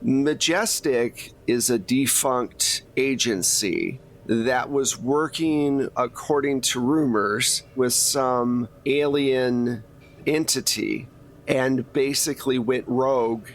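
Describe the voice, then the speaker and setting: A man's voice: mid-range voice, takes it slowly, slight background noise. A man acting as game master, narrating a tabletop role-playing game in a conversational, teasing way.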